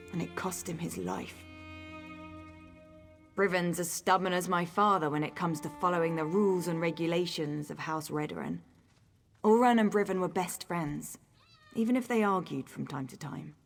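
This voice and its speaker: An actress playing a headstrong young noblewoman training as an assassin in a video game, speaking with stubborn earnestness. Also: mid-range voice. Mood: bitter, grieving, then reflective. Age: young adult. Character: headstrong young noblewoman turned assassin's apprentice, grieving, vengeful.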